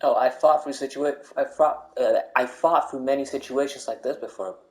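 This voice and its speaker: A man's voice: medium pitch, moderate pace, darkish tone. Casual bantering male game streamer. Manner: bantering, repeats himself. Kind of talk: casual gameplay commentary with joking asides.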